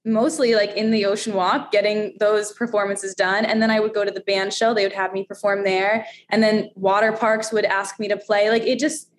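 A woman's voice: medium pitch, little pitch movement, moderate pace, few pauses, slight background noise. A young adult woman from Florida, a radio host, chatting bubbly and laughing often in a casual interview. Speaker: radio host and steel drum player.

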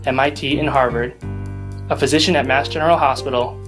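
Man, podcast host, measured read-aloud delivery, guitar music playing clearly behind. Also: medium pitch, steady tone. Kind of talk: scripted intro and interview questions.

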